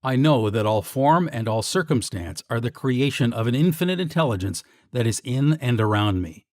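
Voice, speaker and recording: A man narrating scripted guided meditation affirmations in a measured, declarative way. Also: mid-range voice, animated, darkish tone, no room sound, studio quality.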